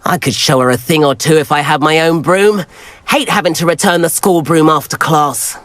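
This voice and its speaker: A man's voice: on the high side, moderate pace, fairly bright tone, dry recording, close to an everyday voice. A man voicing a friendly, adventurous teenage schoolboy in a video game, chatty and well-spoken. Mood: friendly, wistful. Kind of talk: video game character acting.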